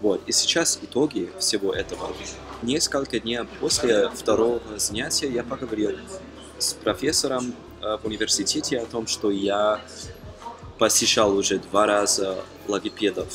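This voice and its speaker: A young man from Germany talking casually to camera as a non-native speaker, halting with frequent fillers and self-corrections. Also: lowish voice. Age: young adult. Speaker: language-learning vlogger. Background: background music.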